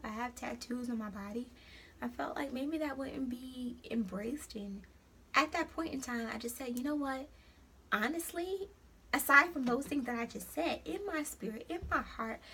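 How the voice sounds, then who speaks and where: A woman's voice: a little high, breathy edge. A young woman with a New York accent, hosting a casual motivational vlog and encouraging her viewers, calling them honey. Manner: warm, preachy and encouraging, calls viewers honey. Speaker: host of an encouragement site.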